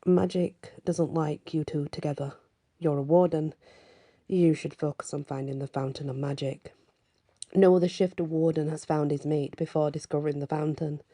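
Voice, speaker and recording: Cryptic older-guide type for fantasy audiobook woman performing: male mentor, low, creaky, measured, blunt. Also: steady tone, mellow. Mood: stern. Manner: measured, speaks in riddling statements.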